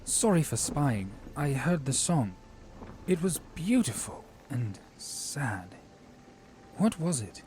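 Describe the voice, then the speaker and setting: A man playing an earnest, curious and gentle young commander in a fantasy audio drama. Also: medium pitch, very animated, slow, slight background noise. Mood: shy, curious.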